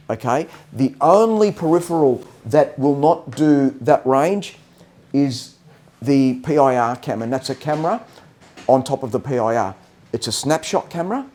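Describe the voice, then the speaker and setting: A man presenting technical product training in a halting way, often stopping to check that listeners follow. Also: medium pitch, darkish tone.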